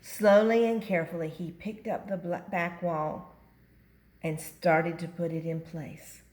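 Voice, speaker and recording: Slow, lowish older woman reading a story. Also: steady tone, darkish tone. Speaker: grandmother reading storybooks aloud. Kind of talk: expressive children's story reading.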